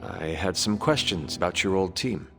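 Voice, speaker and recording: A game hero, really low voice, polite n curious, music behind. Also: male voice, expressive, normal speed. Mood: curious, calm. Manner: plain, polite, inquisitive. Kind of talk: video game character dialogue, measured questions. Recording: music underneath.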